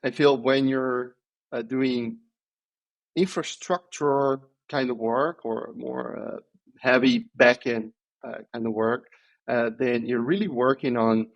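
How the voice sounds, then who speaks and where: A man, answering interview questions in a thoughtful, hesitant way with many pauses and restarts. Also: mid-range voice, steady tone, vocal fry, rough recording. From Italy. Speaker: podcast guest, software engineer.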